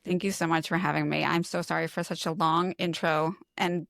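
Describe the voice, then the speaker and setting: A woman's voice: low voice, steady tone, a little fast, vocal fry, a bit bright, no echo, studio quality. A middle-aged woman from New York, not a native English speaker, telling personal stories in a casual, candid way as an interview guest. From New York, United States. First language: a language other than English. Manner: candid, informal, self-deprecating. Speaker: interview guest, lactation consultant. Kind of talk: casual conversational storytelling.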